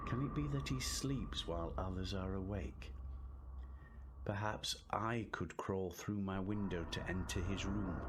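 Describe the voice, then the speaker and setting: A man's voice: sits low, heavy background noise. An actor playing a fearful but resolute captive, narrating his journal in a radio drama.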